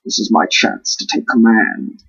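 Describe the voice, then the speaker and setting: A man's voice: lowish voice, lively intonation, measured pace, gravelly, sharp tone, breathy edge, far from the mic, lo-fi sound, exaggerated voice. A man voicing a power-hungry villain robot jet from a storybook, snarling and commanding. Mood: scheming.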